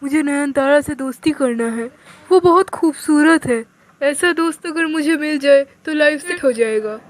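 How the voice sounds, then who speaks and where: Woman putting on an excitable high-pitched college boy. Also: moderate pace, natural voice. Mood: smitten. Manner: loud, indignant, pleading. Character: excitable, smitten young male college student.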